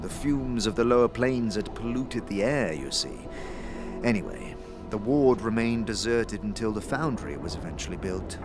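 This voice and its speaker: A man voicing a mischievous, worldly fixer in an audio drama, telling old lore in a wry, measured way. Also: on the low side, lively intonation, over music, close to an everyday voice. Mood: confiding. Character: Sebastian, mischievous worldly male fixer, knowing lore-teller.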